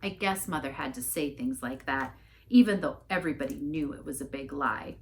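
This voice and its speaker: A woman reading a storybook aloud as an expressive narrator. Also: a bass voice, normal speed, a little hiss or hum. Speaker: story reader, church staff member.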